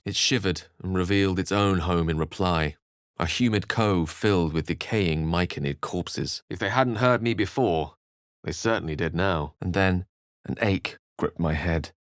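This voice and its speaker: A man's voice: low voice, no echo, studio quality, lightly acted. An actor playing an eloquent, scholarly male wizard in a video game, articulate, theatrical and wry. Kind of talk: video game voice acting, dramatic narration and dialogue.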